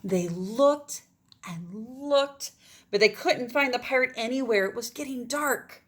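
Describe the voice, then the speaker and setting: A woman's voice: fairly high pitch, a little slow. A woman reading a children's picture book aloud, expressively, with casual asides about the pictures. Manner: expressive, pointing out pictures to listeners.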